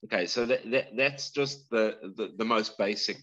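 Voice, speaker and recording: A man's voice: lowish voice, moderate pace, sharp tone, highs cut off. A man with a South African accent, a guest explaining things earnestly in a casual interview, often restarting his sentences. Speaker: guest bladesmith.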